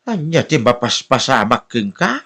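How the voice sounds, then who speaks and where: A man's voice: mid-range voice, very animated, normal speed, bright, forceful tone, heavily acted. A man playing a stern, worried father in a radio drama, with an Ilocano accent and a probing, reproachful manner. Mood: suspicious, reproachful. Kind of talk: Filipino podcast radio drama acting.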